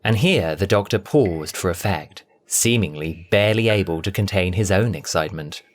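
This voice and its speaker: Animated male story narrator. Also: sits low, moderate pace. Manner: theatrical, expressive. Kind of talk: dramatic scripted story narration.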